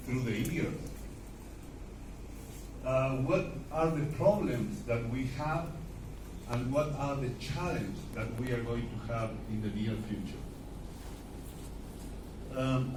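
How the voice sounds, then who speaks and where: An older man from Argentina, a native Spanish speaker with an Argentine accent, gives an academic seminar as a guest specialist in halting, deliberate English. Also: on the high side. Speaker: animal nutrition specialist giving a guest lecture. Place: seminar room before an audience. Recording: echoey room, distant mic, background noise.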